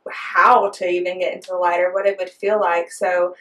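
A woman's voice: lowish voice, flat delivery, normal speed, a bit bright, distant mic. A woman in her twenties co-hosting a casual faith podcast, speaking in a heartfelt, chatty way. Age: 20s. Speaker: podcast co-host.

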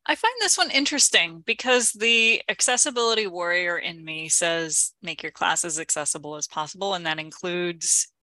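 A woman speaking as co-host of an educational podcast, explaining in an articulate, measured, conversational way. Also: lowish voice, bright, forceful tone, no echo. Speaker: podcast co-host, instructional designer.

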